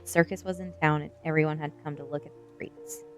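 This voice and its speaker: A woman with a low-pitched voice narrates a first-person story as an ASMR reading. Quiet music plays behind her. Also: fairly even intonation, fast. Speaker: streamer reading a novel aloud.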